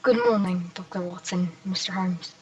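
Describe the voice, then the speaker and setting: Woman acting a distant, husky village busybody. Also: on the low side, fairly even intonation, normal speed, darkish tone, lightly acted. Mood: prim, disapproving. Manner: sanctimonious, gossipy. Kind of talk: old-time radio drama acting. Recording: distant from the microphone.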